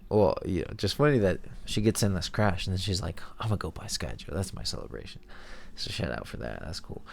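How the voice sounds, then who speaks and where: A man's voice: deep voice, very animated, brisk, vocal fry. A young man with a stuffed-up, nasal voice, rambling in a laid-back, slangy way as he comments over his own video. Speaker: video host. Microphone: headphones monitoring, voice-over mic.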